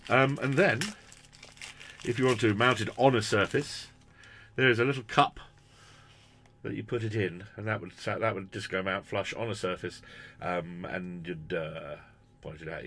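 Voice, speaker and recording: A man's voice: fairly low pitch, sharp tone, a little hiss or hum. A British man in his fifties presenting an unscripted product review in a casual, chatty, rambling, self-deprecating way. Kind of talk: casual unscripted unboxing commentary. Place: small cluttered room indoors.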